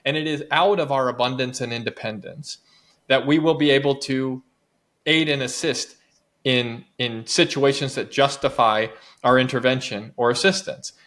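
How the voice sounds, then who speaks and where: A man's voice: mid-range voice, moderate pace, fairly bright tone. Earnest man arguing policy as a guest. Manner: earnest, persuasive, builds points with repetition. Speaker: guest author and advocacy director. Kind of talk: interview, making an argument.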